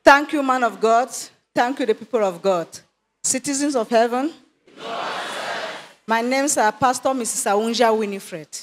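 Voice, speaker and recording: A woman's voice: fairly high pitch, normal speed. A woman pastor making a formal, polite pledge into a handheld microphone in a large church hall. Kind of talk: public pledge.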